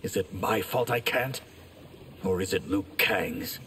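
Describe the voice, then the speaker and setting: An actor voicing an ambitious, proud mage in a video game, formal and intent. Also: male voice, low voice, a little slow. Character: ambitious, proud male mage seeking amends. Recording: distant, with loud background noise.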